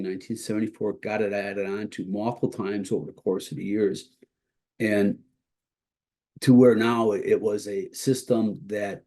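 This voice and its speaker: Husky, congested low-voiced male instructor. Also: mellow. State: congested, starting to lose his voice. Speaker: college instructor presenting to educators. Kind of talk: informal instructional presentation.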